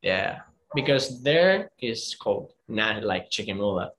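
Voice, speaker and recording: Guy, talks slow and halting, looking for the right english word. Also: on the low side, sing-song, fairly bright tone, a little hiss or hum. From Guatemala. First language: Spanish. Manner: halting, searches for English words. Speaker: music producer guest. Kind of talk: casual interview chat.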